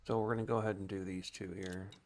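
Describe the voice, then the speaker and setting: A man's voice: deep voice, level tone, quick pace. A man giving casual gameplay commentary as he streams a game, at times calling out short voice commands. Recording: clear background noise.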